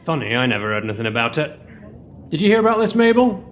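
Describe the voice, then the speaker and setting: Man acting a lively, nagging Scottish butcher dad. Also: fairly high pitch, fast talker, muffled recording. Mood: suspicious. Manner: grumbling, lecturing. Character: nagging butcher father of a schoolboy. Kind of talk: amateur radio play acting. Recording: faintly noisy remote home recording.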